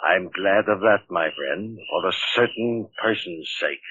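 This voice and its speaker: A man's voice: sits low, a little slow, bright, forceful tone, no room sound, heavily acted. A man playing an old professor in a 1940s radio drama, indignant and formal. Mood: nervous. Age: older. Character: old male professor, defensive and proud. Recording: muffled old radio recording.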